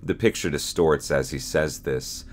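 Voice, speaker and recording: A young man reading scripted narration as host, in a measured, serious, ominous storyteller manner. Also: extremely low pitch, slight background noise. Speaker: host and narrator of a horror explainer channel.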